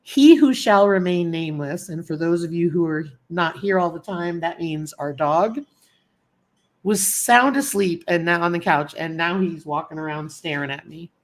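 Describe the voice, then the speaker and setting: Casual woman livestream host, a little sniffly, easygoing chat with viewers, voice a touch low. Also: moderate pace. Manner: chatty, warm greetings to viewers by name. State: sniffly from a cold.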